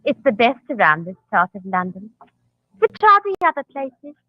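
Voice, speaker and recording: A woman's voice: a little high, very animated, normal speed. An actress playing a friendly, lonesome London tavern woman in a coaxing, chatty manner, in an old radio drama. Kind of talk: 1940s radio acting. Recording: tinny, echoey old radio broadcast.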